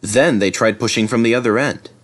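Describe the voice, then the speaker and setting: A man's voice: mid-range voice, a little fast. A man narrating a children's story as a storybook reader.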